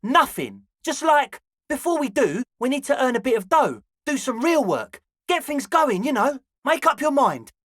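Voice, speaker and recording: A man's voice: very high voice, very animated, a bit bright, no room sound, clean studio sound, exaggerated voice. A male actor voicing a young, slangy club hustler in a video game, conciliatory and laid-back with a pleading manner. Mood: laid-back pitching. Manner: slangy, placating, drawn-out pleading. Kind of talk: video game character dialogue.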